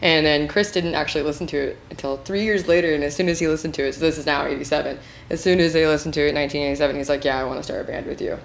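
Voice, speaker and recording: A woman from Washington state with a low-pitched voice tells stories on a casual podcast. She talks in a chatty way, full of fillers, over a faint noise floor. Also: fairly even intonation, rapid-fire, gravelly. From Washington state, USA. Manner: chatty, filler-heavy storytelling. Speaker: podcast co-host. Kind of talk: casual chat.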